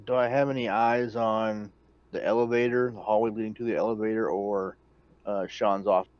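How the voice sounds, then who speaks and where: A man's voice: on the low side, flat delivery, moderate pace, a little mellow, dry recording, dull audio. A man chatting casually as a player in a tabletop roleplaying game.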